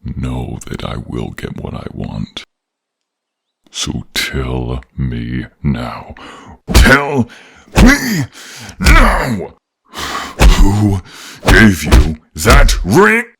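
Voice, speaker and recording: A man playing a menacing, ambitious villain in an audio drama, taunting a captive slowly and theatrically. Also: huge pitch swings, fairly bright tone, heavily acted. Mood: enraged. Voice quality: gravelly, very low. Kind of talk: dramatic audio role-play acting.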